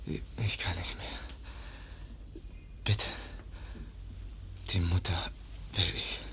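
An actor in a radio play voicing a weak, wounded young man who speaks in halting, breathy, pained phrases. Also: low-pitched, little pitch movement, fairly bright tone. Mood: pained, pleading. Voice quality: breathy, whispering, strained voice. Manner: halting, short broken phrases. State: weak and in pain. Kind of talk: German radio play acting.

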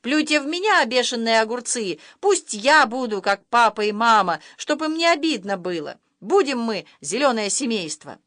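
A woman doing a shouty cheeky schoolboy. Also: fairly high pitch, expressive, moderate pace, hardly any pauses, dry recording, studio quality. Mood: excited.

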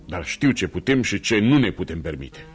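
An actor in a radio play playing a stern, blunt older businessman and protective father-in-law, speaking in a commanding, deliberate manner. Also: low-pitched, expressive. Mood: brusque, businesslike. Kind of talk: radio theatre acting. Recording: music behind a radio play.

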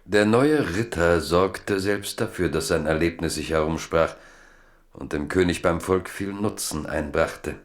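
Low-voiced male radio play narrator. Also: measured pace.

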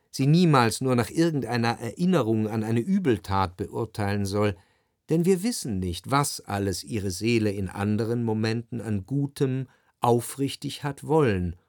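Period radio play narrator: analytical, measured, mid-pitched, in a very dry studio sound. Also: male voice, darkish tone, no room sound. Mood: contemplative. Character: reflective, analytical literary narrator of Parisian society. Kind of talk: scripted literary narration.

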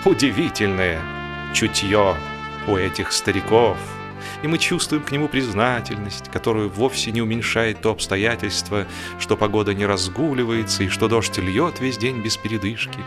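Male narrator for comic novel, lowish voice, expressive and wry, music underneath. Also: normal speed. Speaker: audiobook narrator.

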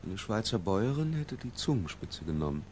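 An actor playing a polite, secretly infatuated middle-aged lodger in a radio play, speaking in a reserved, hesitant way. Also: male voice, fairly low pitch, sing-song, brisk, a little mellow, slight background noise. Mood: hushed, attentive.

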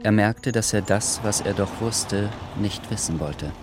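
A man narrating a literary radio drama in a measured, sober scripted style. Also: fairly low pitch, over music.

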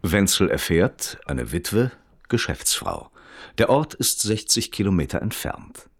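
A man's voice: sits low, big pitch swings, measured pace, gravelly, husky, no room sound, clean studio sound, full character voice. A man playing a radio reporter who narrates a crime case in a formal, precise, matter-of-fact documentary style. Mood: sober, factual.

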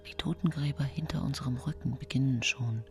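An actress playing a scheming, self-pitying nineteenth-century murderess who tells her own case in a hushed, brooding radio play monologue. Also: very deep voice, fairly quick. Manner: hushed, brooding, often whispering. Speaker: radio drama actress narrating. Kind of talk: intimate first-person radio play monologue. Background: music.

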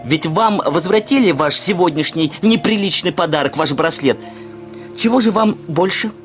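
An actor playing a brash, self-assured young suitor in a Russian radio drama, arguing in a formal, pedantic, insolent manner. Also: male voice, high voice, animated, moderate pace, faint music behind. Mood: taunting. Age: young adult.

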